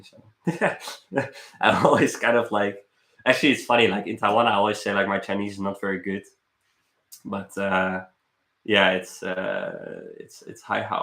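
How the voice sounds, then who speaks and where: The sound is roomy and echoey. A young man talks in a bright, forceful voice on the low side. He rambles casually like a streamer catching up with viewers, halting and repeating words as he goes. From Netherlands. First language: Dutch. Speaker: live streamer, travel vlogger. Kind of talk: casual live stream chat. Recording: roomy echo.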